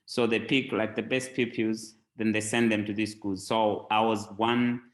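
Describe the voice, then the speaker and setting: A man from Zambia, a tech company founder, talking casually as a podcast guest, relaxed and rambling with many fillers. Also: on the low side, measured pace.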